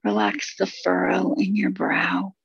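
Gravelly, even-toned woman guiding meditation. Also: lowish voice, dry recording, highs cut off. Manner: soothing, unhurried instruction with short phrases and long pauses. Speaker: meditation guide. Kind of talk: guided relaxation meditation.

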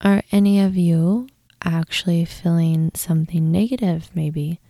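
Slow, very mellow woman guiding meditation. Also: lowish voice, steady tone, dry recording, clean studio sound. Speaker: guided meditation host. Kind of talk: guided meditation, soothing instruction.

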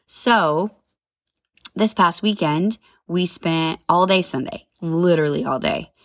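A woman's voice: on the low side, slow, no echo, clean studio sound. Congested, chatty woman podcaster. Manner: chatty, upbeat, rambling with asides. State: congested, a little nasal. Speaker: podcast host. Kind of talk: casual solo podcast chat.

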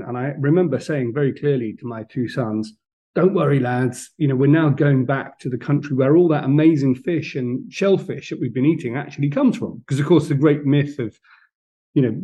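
A man's voice: mid-range voice, warm tone. A middle-aged man, a podcast guest telling stories in a chatty way with many ums and restarts. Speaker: podcast guest, food business founder. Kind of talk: casual interview chat.

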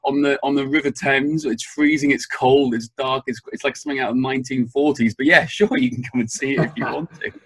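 A man's voice: medium pitch, fairly even intonation, brisk, hardly any pauses, a bit bright, husky, no room sound. A man talking as a podcast guest in a chatty, rambling way, often restarting his sentences, from a guest room in a farmhouse. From Wales. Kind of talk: casual conversational interview.

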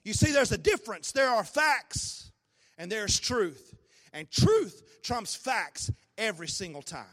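A man preaching a sermon with a hoarse, raspy voice, swinging between low talk and shouted, sung-out peaks. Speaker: pastor. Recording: long hall reverb.

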